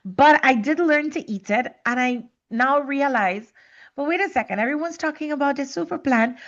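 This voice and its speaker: Female podcast host from Trinidad and Tobago, higher-pitched voice, searching conversational delivery, slightly muffled audio. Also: moderate pace. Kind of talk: reflective conversational podcast talk.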